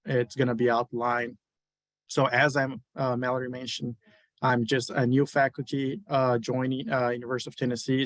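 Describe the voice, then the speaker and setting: A man's voice: medium pitch, a bit bright, no room sound, poor audio. A man from Brazil with a Brazilian accent, giving a webinar lecture as a university beef cattle specialist, with many hesitant fillers. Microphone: video call.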